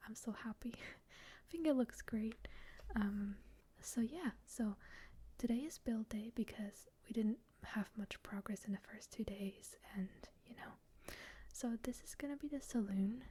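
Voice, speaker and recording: Whispering shy woman, soft and breathy. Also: on the high side. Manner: soft, shy and rambling, with frequent laughs. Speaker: gamer. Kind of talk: whispered game commentary.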